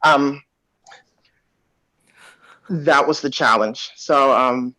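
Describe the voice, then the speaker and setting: A man speaking as an actor on a panel, reflecting in a measured, thoughtful way with pauses, over a video call. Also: medium pitch, bright, forceful tone, dry recording. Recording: dull, dry video-call audio.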